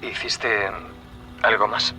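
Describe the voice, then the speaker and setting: Very sharp-voiced, cautious investigator. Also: male voice, fairly low pitch, little pitch movement, slow pace, faint music behind, full character voice. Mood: careful, uneasy. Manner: careful, hesitant, formal. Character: cautious, uneasy investigator interviewing a serial killer. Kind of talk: Spanish radio drama acting.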